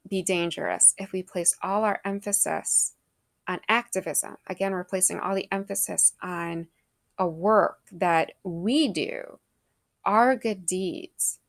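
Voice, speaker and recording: A woman's voice: medium pitch, no room sound, clean studio sound. Earnest woman giving a reflective devotional talk. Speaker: speaker giving a faith reflection.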